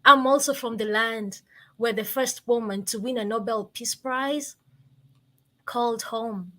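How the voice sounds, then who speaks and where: A young woman with a Kenyan accent speaks in a bright, forceful voice that sits a little high. She delivers inspirational storytelling like a practised speaker, leaning on repetition and pausing for emphasis. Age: 20s. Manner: rhetorical, emphatic repetition with deliberate pauses. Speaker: guest, motivational speaker.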